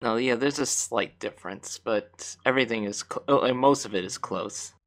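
A man's voice: medium pitch, normal speed, fairly bright tone. A man co-hosting a casual podcast, earnestly explaining singing terms. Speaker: podcast co-host.

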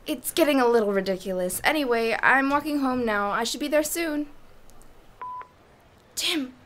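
A woman's voice: high-pitched, a bit bright. A woman playing an anxious wife speaking to her husband in an audio drama. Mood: mildly annoyed.

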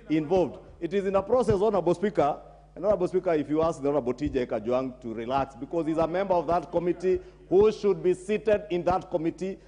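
A man's voice: sits high, dark tone, long reverb tail. A middle-aged Kenyan man, a member of parliament, making a combative, accusing speech in debate. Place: large parliament chamber. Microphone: desk microphone.

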